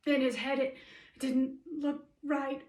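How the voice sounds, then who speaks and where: A woman performing a theatre monologue as a shaken, earnest female police officer, plain-spoken and halting. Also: sits high, little pitch movement, darkish tone. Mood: distressed, careful. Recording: roomy echo, distant microphone.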